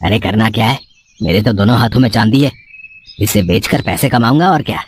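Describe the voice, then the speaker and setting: A man's voice: lowish voice, animated, fairly quick, a little hiss or hum, pitched below the performer's own voice, natural voice. A man voicing a sly young swindler in a moral story, boastful, cocky and slangy. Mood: gloating.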